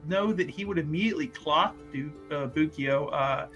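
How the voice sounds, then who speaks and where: Bright, rambling man running a game. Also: on the high side, moderate pace, soft background music. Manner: rambling, joking, lots of fillers and restarts. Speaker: game master. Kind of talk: narrating a tabletop game.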